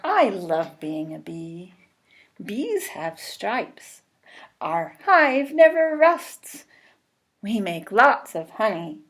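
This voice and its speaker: A woman voicing a cheerful, eager young female honeybee in a children's storybook, chanting in a deliberate, exclamatory way. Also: mid-range voice, big pitch swings, full character voice. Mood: happy. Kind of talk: children's storybook read-aloud. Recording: clearly noisy recording with clicks.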